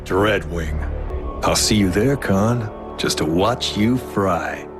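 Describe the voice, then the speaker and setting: A man's voice: low-pitched, animated, takes it slowly. A cocky battle-worn robot soldier from a cartoon, wry laconic tough guy, music playing behind. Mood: cocky, defiant. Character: Wheeljack, cocky roguish battle-hardened male robot warrior. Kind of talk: animated series voice acting.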